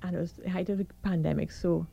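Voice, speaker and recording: A middle-aged woman doctor with a croaky, hoarse voice, calmly explaining her experience as a radio guest. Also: lowish voice, quick pace, highs cut off. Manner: calm, reassuring, explains step by step. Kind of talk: radio interview.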